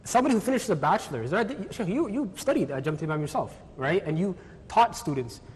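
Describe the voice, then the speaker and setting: A man on a studio discussion panel who talks fast and with animation, often checking in with the others with a quick "right?". His voice sits fairly high. The studio has a long reverb and a faint rumble. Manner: fast, animated, draws others in with tag questions.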